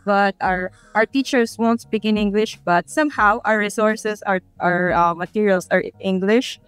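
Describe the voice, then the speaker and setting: A woman's voice: medium pitch, normal speed, fairly bright tone, clear, resonant tone, no room sound, soft background music. A woman from the Philippines, answering interview questions in a hesitant, self-conscious way. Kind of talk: casual street interview answers.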